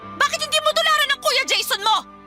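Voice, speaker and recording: Sharp, scolding Filipino mother. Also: extremely high pitch, a little fast, faint music behind, full character voice. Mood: angry, berating. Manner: sharp, nagging, confrontational. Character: stern, scolding, belittling Filipino mother of a teenager. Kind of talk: radio drama acting.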